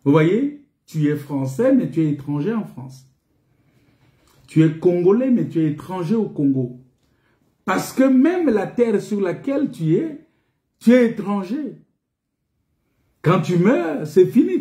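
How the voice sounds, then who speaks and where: Male preacher, high but mellow voice, didactic and repetitive, echoey indoor recording. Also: sing-song, unhurried, long pauses. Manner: emphatic, repetitive, didactic with rhetorical questions. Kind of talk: sermon, teaching scripture. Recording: echoey, reverberant room.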